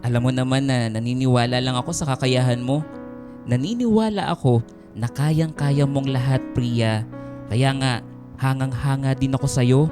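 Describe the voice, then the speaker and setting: A man playing an earnest, gentle young boyfriend in a Filipino radio drama. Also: medium pitch, normal speed, natural voice. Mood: tender, reassuring. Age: young adult. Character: devoted, gentle young boyfriend and dutiful nephew. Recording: radio drama with music behind.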